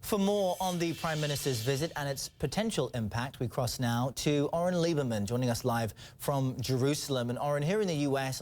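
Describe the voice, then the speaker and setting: A man's voice: on the high side, darkish tone. A man anchoring a television newscast in a broadcast news delivery.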